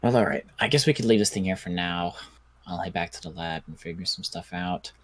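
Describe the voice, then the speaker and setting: A man playing a measured, curious doctor who studies zombies in an improvised apocalypse game roleplay, talking deliberately. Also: low-pitched, dry recording. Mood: businesslike.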